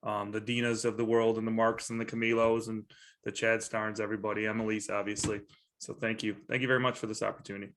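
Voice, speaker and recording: A man's voice: on the low side, level tone, fast talker, fairly bright tone, slight background noise. A man introducing himself on a video call, friendly and rambling, with a self-deprecating touch. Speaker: staffing firm panelist.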